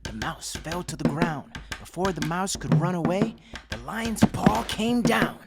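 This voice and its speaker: A woman narrating scripted fables with deliberate, theatrical storytelling. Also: on the low side, lively intonation, gravelly, very noisy. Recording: loud music behind.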